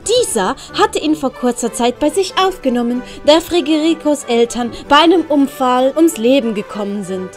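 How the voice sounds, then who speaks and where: High-pitched Swiss woman narrating a story. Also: animated, normal speed, few pauses, soft background music. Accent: Swiss German. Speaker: audiobook narrator.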